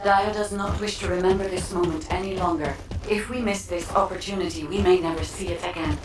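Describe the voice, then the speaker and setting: An actress playing a solemn, mysterious female guide in a video game, speaking in a measured, formal way. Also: on the low side, steady tone, close to an everyday voice. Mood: urgent. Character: solemn, mysterious female guide leading a hero through memories. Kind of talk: scripted video game voice acting. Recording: echoey, distant, music behind.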